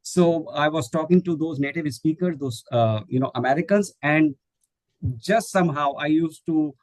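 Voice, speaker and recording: A man's voice: a little high, normal speed, darkish tone, no room sound, poor audio. A middle-aged man from India whose first language is Hindi, answering questions in an online webinar, hesitant with many fillers and restarts. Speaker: guest speaker, English teacher trainer.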